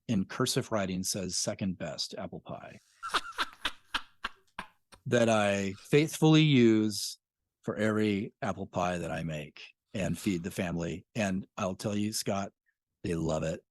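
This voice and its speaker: A man's voice: lowish voice, lively intonation, takes it slowly, dry recording, studio quality. An American man chatting casually as an interview guest, with an easygoing, self-effacing storytelling way of talking. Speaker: podcast guest, audio drama creator.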